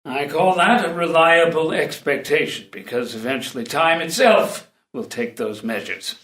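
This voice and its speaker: A man's voice: fairly high pitch, expressive, moderate pace, fairly bright tone. A man reading the part of a dutiful, honour-bound royal tutor in a classical play, courtly and slightly hesitant in delivery. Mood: dignified, approving. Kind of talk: unrehearsed dramatic play reading of classical comedy. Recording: echoey room.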